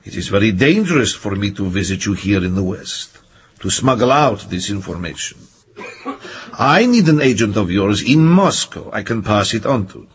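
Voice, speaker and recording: Low-voiced, grave Soviet colonel. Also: male voice, big pitch swings, moderate pace, slight background noise. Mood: cautious, confiding. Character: grave, earnest Soviet intelligence colonel turning secret informant. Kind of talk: radio drama monologue.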